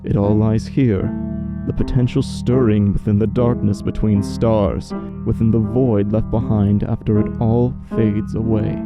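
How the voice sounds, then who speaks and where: A man playing a cold, grandiose villain who rules a dream realm, speaking with measured menace in an audio drama. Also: lowish voice, little pitch movement, muffled recording, over loud music.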